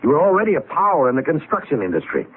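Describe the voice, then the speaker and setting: Husky, swooping, sardonic business partner. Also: male voice, on the high side, sing-song, rapid-fire. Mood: accusing. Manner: sardonic, scornful, emphatic. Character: disillusioned, sardonic older business partner and mentor. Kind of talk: 1970s radio drama acting. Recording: muffled vintage radio broadcast.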